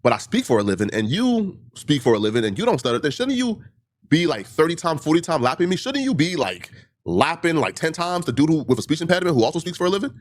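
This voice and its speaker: Emphatic man who stutters, swooping pitch. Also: sing-song, fast. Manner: emphatic, repeats points, rhetorical questions. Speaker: livestreamer. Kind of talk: heated monologue answering critics.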